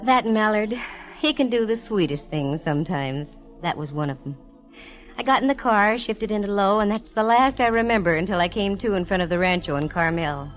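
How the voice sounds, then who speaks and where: A woman's voice: mid-range voice, animated, moderate pace, faint music behind, lightly acted. An actress playing a wisecracking, self-assured female private eye in a vintage radio detective drama, wry and breezy in delivery. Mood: dreamy, amused. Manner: wry, breezy, dryly humorous. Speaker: lead actress narrating a detective serial. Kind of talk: 1940s radio detective acting and narration. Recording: vintage radio recording with musical backing.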